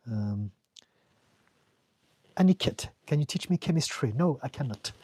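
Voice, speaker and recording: A man's voice: medium pitch, lively intonation, measured pace, a little mellow, husky, dry recording. French-accented man giving frank advice. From France. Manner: earnest, direct, repeats words when searching for them. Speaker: therapist answering live chat questions. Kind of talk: live-stream Q&A, casual advice.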